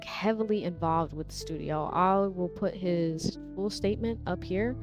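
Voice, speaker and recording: A woman giving casual video commentary in an informal, opinionated way. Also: on the low side. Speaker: YouTube commentator. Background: music behind the voice.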